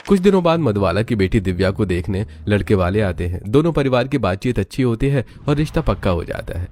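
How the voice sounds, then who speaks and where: A man's voice: on the low side, warm tone. A man narrating a scripted family drama story in a dramatic storytelling style.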